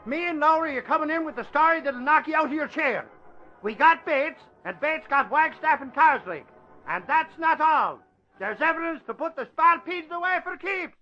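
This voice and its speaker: A man playing a blustering, excitable Irish newspaperman in 1940s radio style. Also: extremely high pitch, normal speed. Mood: excited, boastful. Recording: muffled, band-limited old radio broadcast.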